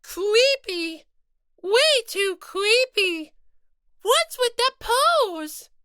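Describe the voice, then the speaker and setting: Small female rabbit mascot, very high and bright, whiny, indignant, scolding, for an audiobook. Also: big pitch swings, extremely slow, no room sound, clean studio sound, full character voice. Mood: upset, scolding. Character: small squeaky indignant little-sister rabbit mascot, easily flustered. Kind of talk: dramatized audiobook character reading.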